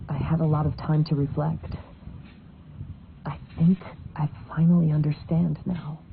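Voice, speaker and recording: A man playing a serene, reflective young wandering samurai in a video game, speaking in a gentle, poetic way. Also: fairly high pitch, vocal fry, noisy background. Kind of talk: scripted game dialogue. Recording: phone-like band-limited sound under loud music.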